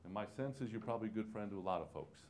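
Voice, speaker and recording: A man's voice: fairly low pitch, rapid-fire, a little mellow, slight background noise. A man acting as host, informally introducing a speaker to an audience in a large auditorium.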